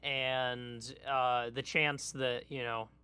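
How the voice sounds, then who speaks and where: Male podcast co-host, bright and smooth, medium pitch, halting casual chat, thinks aloud. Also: little pitch movement. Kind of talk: casual pop culture chat.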